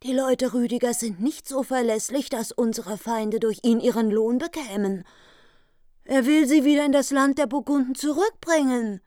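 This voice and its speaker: A woman's voice: a little high, moderate pace, mellow, dry recording, studio quality, close to an everyday voice. An actress playing a vengeful, bitter queen who gives orders coldly in a radio play. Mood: indignant. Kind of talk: radio drama acting.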